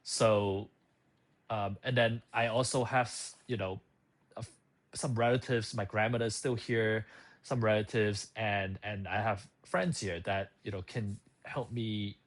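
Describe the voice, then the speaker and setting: A man's voice: fairly low pitch, steady tone, measured pace. Man in his thirties chatting to camera. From Hong Kong. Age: 30s. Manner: loose and conversational, many fillers and restarts. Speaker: channel host. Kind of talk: talking to camera, casual personal story.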